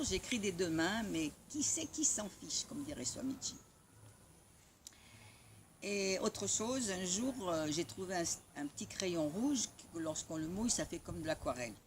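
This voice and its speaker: A woman's voice: deep voice, slight background noise. Woman, Italian first language, wry and spirited storyteller, personal sharing. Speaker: spiritual community member sharing a testimony. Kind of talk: personal storytelling after a morning talk.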